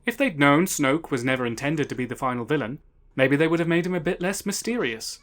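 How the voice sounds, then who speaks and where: A man hosting a scripted video essay, narrating in a measured, analytical and argumentative way. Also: a little high, animated, a bit bright.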